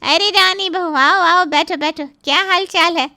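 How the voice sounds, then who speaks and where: A woman voicing a nosy, excitable middle-aged aunt who exclaims and relishes gossip in a story. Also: extremely high pitch, measured pace, no echo, studio quality, pitched above the performer's own voice, exaggerated voice. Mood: shocked, curious.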